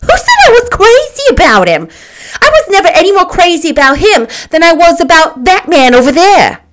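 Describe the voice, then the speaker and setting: A brash, indignant married woman, acted by a female narrator in a storybook. Her voice sits high and swings widely. She is loud and emphatic, full of scornful disbelief. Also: expressive, measured pace, full character voice.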